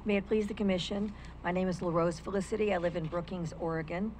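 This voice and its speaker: A woman's voice: on the low side, fairly even intonation, quick pace, darkish tone, a little hiss or hum. An older woman reading a prepared legal argument as public comment at a county meeting, speaking into a stand microphone. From United States. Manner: formal, argumentative, reads quotes from legal texts. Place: county meeting room, indoors. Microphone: stand microphone at a lectern.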